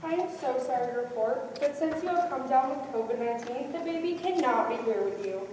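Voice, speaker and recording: High-pitched actress as hospital worker, echoey hall. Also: female voice, lively intonation, a little fast. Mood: apologetic, grave. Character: apologetic hospital worker delivering grave news. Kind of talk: live stage one-act play. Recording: very echoey hall, distant mic, faint noise.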